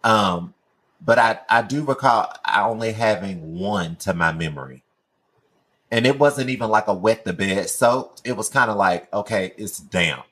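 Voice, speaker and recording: Male podcast co-host, late twenties, husky and low-pitched, blunt and lively. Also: unhurried. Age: 20s. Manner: blunt, animated, cursing freely. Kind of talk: casual chat.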